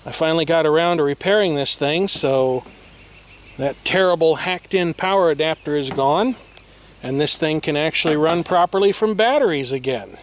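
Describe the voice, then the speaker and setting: A man's voice: on the high side, moderate pace. A man hosting a casual vlog with wordy, playfully formal, tongue-in-cheek phrasing and mock seriousness. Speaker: video host. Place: outdoors on a windy day, by a vehicle. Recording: clear noise, windy outdoor recording.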